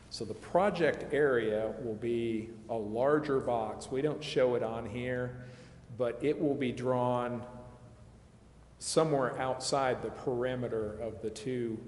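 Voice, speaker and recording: A man's voice: mid-range voice, darkish tone. A man explaining rules at a public meeting, carefully qualifying points and working through hypothetical examples. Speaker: consultant advising a city committee. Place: city council chamber. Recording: echoey meeting chamber, faint background noise.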